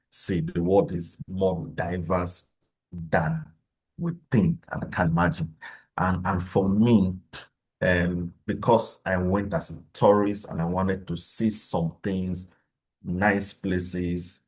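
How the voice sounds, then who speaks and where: Man, middle-aged, deep husky voice, webinar speaker who pauses and restarts. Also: darkish tone, poor audio. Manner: measured, explanatory, with frequent fillers and restarts. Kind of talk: webinar talk.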